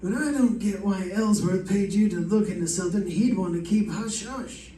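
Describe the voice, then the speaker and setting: A woman's voice: mid-range voice, normal speed, warm tone, lightly acted. A woman playing a detective's dry, skeptical sidekick in a live old-time radio mystery. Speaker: radio drama performer. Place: live stage performance in a reverberant room. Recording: echoey, distant, noisy room pickup.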